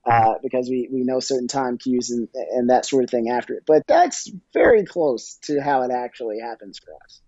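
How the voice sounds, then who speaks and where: Mellow-voiced man, dry slightly muffled recording. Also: medium pitch, no echo. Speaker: podcast guest, audio drama producer and musician. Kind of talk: casual interview explanation.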